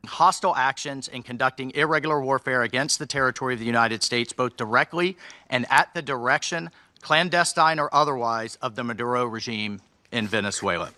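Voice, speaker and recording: A man's voice: medium pitch, sharp tone, no echo. Man reading a formal speech, dry. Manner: measured, reading from a text. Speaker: official giving a speech.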